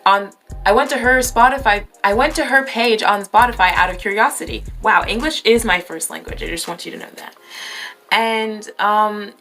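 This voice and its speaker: A woman's voice: medium pitch, normal speed, pushed and bright, soft background music. A young woman around twenty, born in New York and raised in California, chatting through a casual question-and-answer vlog, rambling and correcting herself with lots of fillers. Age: 20s. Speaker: YouTuber.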